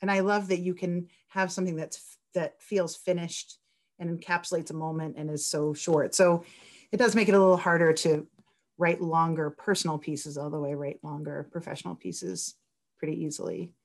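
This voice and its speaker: A woman's voice: fairly low pitch, fairly even intonation, moderate pace. A woman speaking casually and effusively as a writer answering questions on a panel. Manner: enthusiastic, effusive. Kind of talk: casual Q&A talk.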